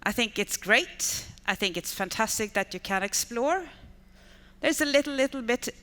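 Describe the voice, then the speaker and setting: A woman's voice: on the high side, lively intonation, moderate pace, a bit bright. A Swedish woman in her fifties, a conference speaker whose first language is Swedish, giving an enthusiastic talk in English and piling up repeated words like "really, really.". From Sweden. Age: 50s. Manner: enthusiastic, stacks repeated intensifiers. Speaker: conference speaker, education manager. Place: large conference hall. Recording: reverberant hall with long decay.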